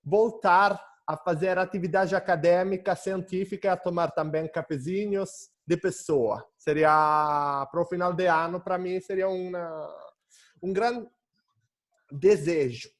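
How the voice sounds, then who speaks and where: A young man with an Italian accent giving an academic lecture over a video call, often pausing and searching for words. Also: high-pitched. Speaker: guest lecturer.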